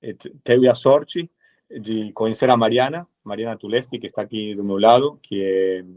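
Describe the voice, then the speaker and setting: A man from Argentina with an Argentine Spanish accent, a lawyer speaking as a guest in a webinar, measured and full of hesitant fillers. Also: lowish voice. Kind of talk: webinar presentation. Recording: dull lo-fi webinar audio.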